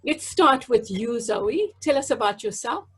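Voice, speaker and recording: A woman's voice: on the high side, expressive, measured pace, husky. A middle-aged woman interviews casually as host over a video call from home. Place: home room indoors.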